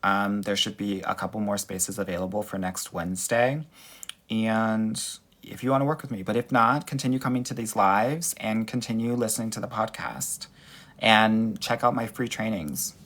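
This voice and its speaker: Sassy, animated young man coaching. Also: on the low side, moderate pace. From Southern California. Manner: sassy, animated, calls listeners girl and honey. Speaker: dating coach. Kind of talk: live-stream Q&A reading viewer comments. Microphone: phone.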